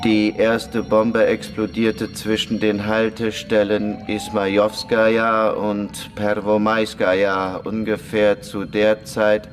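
A man playing a simple, remorseless prisoner in a German radio play, speaking in a deadpan, halting way. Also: on the low side. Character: simple, matter-of-fact male prisoner, remorseless village bomber.

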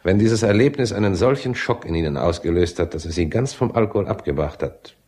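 A man acting in a radio drama as a condescending, slyly genial asylum psychiatrist with a husky voice. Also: low voice, lively intonation, a little mellow. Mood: cautiously genial. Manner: condescending, chuckling, unhurried questioning. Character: patronising, smooth-mannered male asylum psychiatrist, slyly genial.

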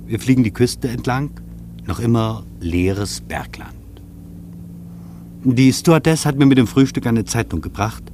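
A man acting a reflective air traveller in a radio play, narrating his travelogue in a measured, contemplative way. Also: on the low side, a little mellow, a little hiss or hum, lightly acted. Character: reflective male air traveller observing from a plane.

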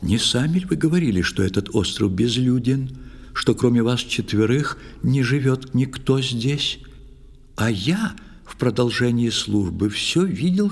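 An actor playing a stern, grave old priest in a verse audio play, declaiming with emphasis and suspicion. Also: male voice, medium pitch, gravelly, slightly rough, in a large hall, voice pushed up, full character voice. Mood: suspicious, uneasy.

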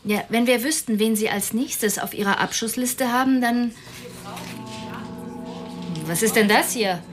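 An actress playing a determined, sharp-witted police detective in a radio drama, businesslike and firm. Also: female voice, a little high, a little slow, soft background music, natural voice. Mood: brisk, intent.

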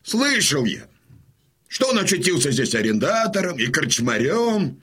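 Stern old Cossack commander, imperious, husky voice, widely swinging intonation, radio drama. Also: male voice, fairly high pitch, very animated, fairly bright tone, exaggerated voice. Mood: gruff, suspicious. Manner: imperious, weighty, deliberate.

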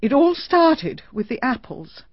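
A chatty, easily rattled middle-aged lady crime writer with a somewhat high, husky voice that rises and falls a lot. It is a dry, dull old archive radio recording. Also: sing-song, a little slow, dry recording, exaggerated voice. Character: flustered middle-aged female crime novelist. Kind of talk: radio drama acting.

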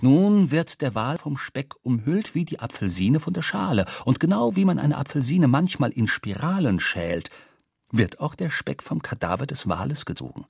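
A man's voice: medium pitch, very animated, normal speed, vocal fry, a little mellow, slightly rough, dry recording, clean studio sound. A man narrating a literary radio drama with vivid, precise storytelling. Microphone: close studio microphone.

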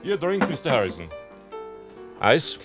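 An actor playing an obliging, talkative bartender with sly humour in a German radio play, polite and wry. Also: male voice, mid-range voice, big pitch swings, a little slow. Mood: chatty, polite. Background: music.

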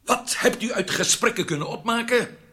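An actor playing a menacing Egyptian intelligence interrogator in a radio drama, commanding and deliberate. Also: male voice, extremely high pitch, lively intonation, sharp tone, exaggerated voice. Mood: probing, sceptical.

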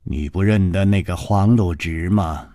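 A man's voice: low-pitched, a little slow, dark tone, no room sound, clean studio sound, voice pushed down. A man playing a jealous, volatile, suspicious lover in a martial-arts story, with probing, demanding speech.

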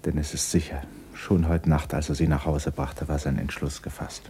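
A man narrating a literary radio play in a husky, measured, sombre manner. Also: rumbling low, level tone, warm tone, a little hiss or hum.

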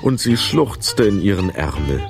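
Guy narrating a kids story, lowish voice, really lively, faint music under it. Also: measured pace, creaky. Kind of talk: expressive scripted storytelling for children.

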